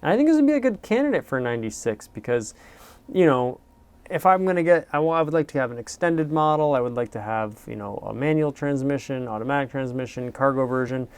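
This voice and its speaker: Man, gravelly edge, smooth resonant tone, casual car-review host, meandering, self-deprecating. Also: mid-range voice, expressive, quick pace.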